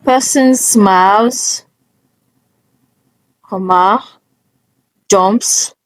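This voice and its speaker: Slow-paced woman giving a tutorial. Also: mid-range voice, dry recording, low-quality recording. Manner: instructive, often checking in with "okay?". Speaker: tutorial presenter. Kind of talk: casual step-by-step screen tutorial.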